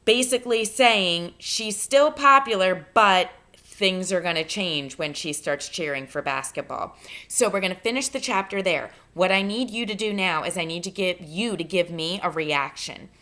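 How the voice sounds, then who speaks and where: Female teacher, somewhat low register, reading a novel to a class with explanatory asides. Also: pushed and bright. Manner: explanatory, addressing students directly. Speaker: teacher reading aloud to students. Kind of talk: read-aloud of a novel with teaching commentary.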